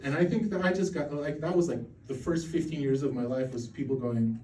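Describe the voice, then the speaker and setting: Male stand-up comic, forties, from Poland, mellow low-key delivery, roomy club sound from a distance. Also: fairly quick. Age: 40s. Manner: rambling, self-mocking, with crude punchlines and frequent restarts. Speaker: stand-up comedian. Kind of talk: stand-up routine. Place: comedy club stage.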